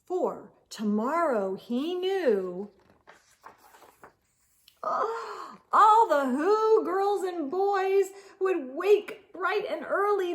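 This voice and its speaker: A woman reading a children's picture book aloud as a storytime host, expressive and theatrical, with drawn-out emphasis. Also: very high voice.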